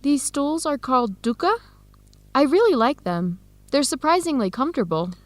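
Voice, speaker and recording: Earnest young woman, higher-pitched voice. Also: sing-song, moderate pace, no room sound. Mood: pleased. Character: Helen, young American woman, earnest and open. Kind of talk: scripted educational radio drama.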